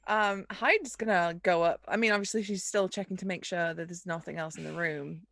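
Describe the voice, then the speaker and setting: A woman's voice: lowish voice, brisk, gravelly, fairly bright tone, dry recording. A woman playing a tabletop roleplaying game, chatting casually and speaking in character.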